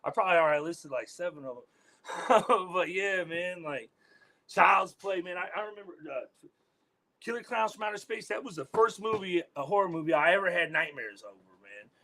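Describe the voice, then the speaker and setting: A man's voice: expressive, brisk, pushed and bright. A man being interviewed as a guest musician, talking in a laid-back way, swearing a lot and trailing off into fillers. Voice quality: deep voice. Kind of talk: casual interview chat.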